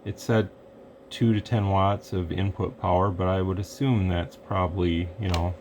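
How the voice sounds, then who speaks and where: A man with a deep, level voice talks through a radio demo in an easygoing, rambling way, pausing with fillers as he works. He sounds low and steady, with faint noise behind him. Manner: relaxed, rambling, thinks aloud with fillers. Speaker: radio equipment reviewer. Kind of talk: casual hands-on demo narration.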